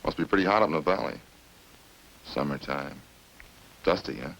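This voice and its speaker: A man's voice: rumbling low, normal speed, vocal fry, fairly bright tone, full character voice, rough recording. A man playing a talkative, smug murder suspect in a radio crime drama, folksy and cagey as he casually needles the police. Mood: cagey, evasive. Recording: hissy old radio recording.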